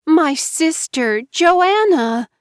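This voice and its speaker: A manipulative young bride whimpers and begs in a thin little-girl whine, tears in every word, as a woman reads her. Her pitch rides far above a normal speaking voice and she draws her pleas out slowly. The sound is dry and close, with no room around it. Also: animated, no echo, exaggerated voice. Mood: pleading tearful. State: tearful, sobbing.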